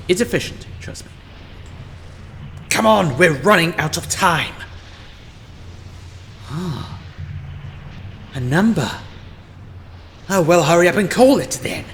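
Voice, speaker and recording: Man acting a slow, sinister, theatrical storyteller in reverb. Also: a little high, a little hiss or hum, exaggerated voice. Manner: theatrical, drawn-out, florid. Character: sinister, grandiose male storyteller who relishes dark tales. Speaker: narrator and host of an anthology audio series. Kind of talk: theatrical audio drama storytelling. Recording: long hall reverb under loud music.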